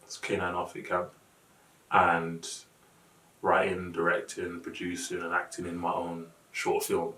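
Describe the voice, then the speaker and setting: A man's voice: deep voice, completely flat delivery, unhurried, sharp tone, far from the mic. A man in his late twenties from London, answering interview questions. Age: late 20s. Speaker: actor and personal trainer. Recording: echoey room, off the mic.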